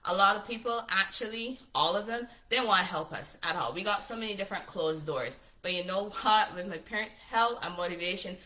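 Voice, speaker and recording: A woman's voice: medium pitch, fairly quick, hardly any pauses, sharp tone, low-quality recording. A woman from Barbados in her thirties, a business coach, talking to camera in a chatty, upbeat way and often asking "right?". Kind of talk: casual talk to camera.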